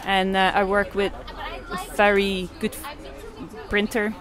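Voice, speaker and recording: A woman's voice: mid-range voice, level tone, takes it slowly, crowd noise. A woman in her forties from Belgium, an artist and photographer answering interview questions in a hesitant way, with many fillers and restarts.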